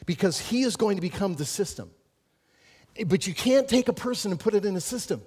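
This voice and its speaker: Male pastor, slightly high voice, preaching with fervent emphasis and calmer teaching stretches. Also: a little fast, breathy edge. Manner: animated preaching with rising emphasis, then quieter teaching. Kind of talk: sermon.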